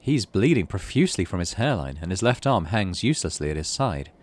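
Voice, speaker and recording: A man's voice: low voice, sing-song, a little fast. A man giving a casual let's play commentary, thinking aloud and reading story text from the game. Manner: thinks aloud while playing. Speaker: gaming channel host playing a visual novel.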